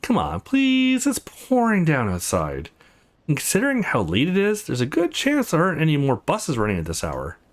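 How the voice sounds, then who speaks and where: A man's voice: on the high side, wildly swooping pitch, normal speed. A man voicing an upbeat, friendly young protagonist in a visual novel, playful and coaxing. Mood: pleading.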